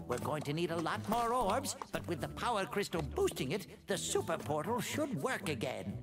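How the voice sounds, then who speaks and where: A man's voice: high voice, sing-song, normal speed. An actor voicing a fussy old male professor, a brainy inventor, in a video game. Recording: game audio with music behind.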